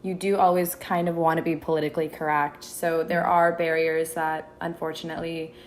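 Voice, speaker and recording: A woman's voice: deep voice, flat delivery, a little fast. A woman in her twenties from Minneapolis, a content creator, chatting casually as a podcast guest with lots of restarts. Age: 20s. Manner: chatty, many restarts and fillers. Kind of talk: casual interview chat.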